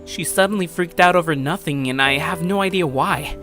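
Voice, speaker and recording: A man's voice: a little high, fairly quick, bright, forceful tone, soft background music. Man acting an earnest, anxious schoolboy narrator. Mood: troubled, hesitant. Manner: conversational, introspective. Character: earnest, anxious teenage schoolboy narrating his troubled friendships. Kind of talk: anime-style audio story, first-person scripted narration.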